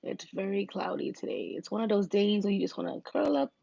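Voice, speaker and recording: A woman with a raspy voice, casually talking viewers through a makeup look on a livestream, step by step. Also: medium pitch, a little fast, dark tone, dry recording, lo-fi sound. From Virginia, US. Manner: chatty, talks viewers through each step. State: raspy, deeper voice than usual.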